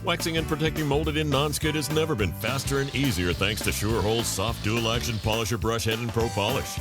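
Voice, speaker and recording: Man, ad voice-over, low voice, animated pitch, salesy, loud music behind. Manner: punchy, salesy delivery. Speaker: commercial announcer. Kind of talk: scripted ad voice-over.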